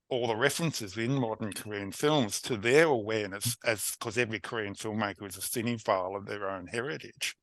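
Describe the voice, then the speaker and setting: A man's voice: on the low side, bright, forceful tone, dry recording, poor audio. A man speaking as a film scholar on an online panel, eagerly jumping in to make his point. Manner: eager, jumps in with quick points. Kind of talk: casual panel discussion over video call. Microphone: video call microphone.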